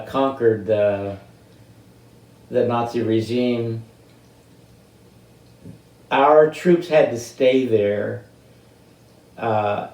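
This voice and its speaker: An elderly man in his eighties from the United States telling personal stories in an unscripted, halting, deliberate way, with long pauses and drawn-out words. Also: mid-range voice, a little mellow. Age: 80s. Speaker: interviewee telling life stories. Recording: roomy, distant microphone.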